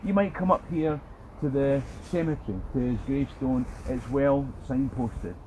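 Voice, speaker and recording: A man's voice: mid-range voice, moderate pace, warm tone. Scottish man telling history stories. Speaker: history tour guide and video host. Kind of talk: history travel storytelling to camera. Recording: noisy outdoor location, traffic noise.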